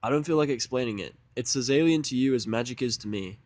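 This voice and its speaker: A man acts as a stubborn young guy in an audio drama. He sounds blunt, sarcastic and fed up, in a medium-pitched voice recorded dry. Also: fast talker, darkish tone, no echo, close to an everyday voice. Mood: demanding. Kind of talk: fan fiction audio drama dialogue.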